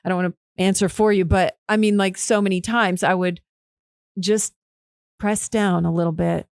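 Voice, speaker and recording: A woman's voice: fairly low pitch, fairly even intonation, normal speed, gravelly, darkish tone, clean tone, no echo, studio quality. A woman hosting a podcast, chatting casually, swearing now and then and acting out quoted lines in a livelier voice. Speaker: podcast host. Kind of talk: casual interview chat.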